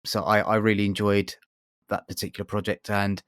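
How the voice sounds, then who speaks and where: A man's voice: fairly low pitch, little pitch movement, brisk, a bit bright, clean tone, no room sound, clean studio sound. A man hosting a podcast interview, asking long rambling questions full of fillers. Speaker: podcast host. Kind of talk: casual interview.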